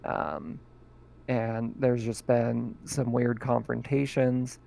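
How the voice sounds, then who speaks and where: Gravelly man running a tabletop game. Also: mid-range voice, flat delivery, a little mellow. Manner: halting, many fillers. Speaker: game master.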